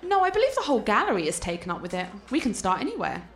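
A woman's voice: mid-range voice, wildly swooping pitch, very fast, slight background noise. A woman playing a cultured, confident art scholar and teasing fiancée in a radio play, articulate and playful. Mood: enthusiastic.

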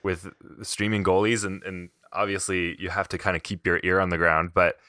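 A man's voice: low-pitched, little pitch movement, normal speed, pushed and bright, dry recording, clean studio sound. A man co-hosting a casual fantasy hockey podcast, chatty and joking, with thoughts that trail off. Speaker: podcast co-host.

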